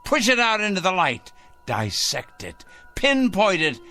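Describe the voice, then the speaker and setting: A man's voice: high-pitched, huge pitch swings, a little slow, pushed and bright, soft background music, exaggerated voice. An actor in a radio drama playing a fussy, sanctimonious, secretive man obsessed with judging evil, speaking precisely and condescendingly. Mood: gleeful, obsessed. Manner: pompous, condescending, precise and theatrical.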